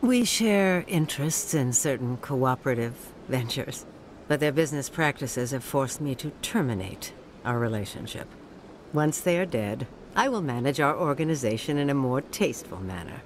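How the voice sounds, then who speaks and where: A woman's voice: extremely low pitch, lively intonation, moderate pace. A woman playing a calculating underworld businesswoman in a video game, speaking formally, with composed persuasion. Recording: faint ambient noise behind.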